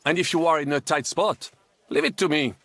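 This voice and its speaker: An actor voicing a suave, patient foreign gentleman who teaches courteously in a video game. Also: a little high, brisk, dry recording, lightly acted. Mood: smooth, confident. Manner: courteous, instructive, flowery.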